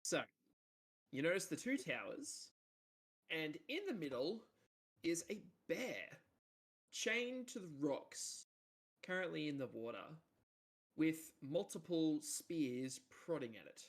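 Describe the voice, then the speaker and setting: A man narrating as game master of a tabletop role-playing game, casual and jokey, with a big booming voice. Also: very animated, slow pace, long pauses.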